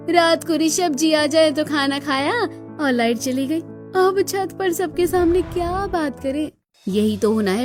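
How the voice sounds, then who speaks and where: A woman's voice: high voice, natural voice. A woman voicing a fed-up young village daughter-in-law in a cartoon, grumbling and gossipy. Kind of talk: Hindi cartoon dialogue.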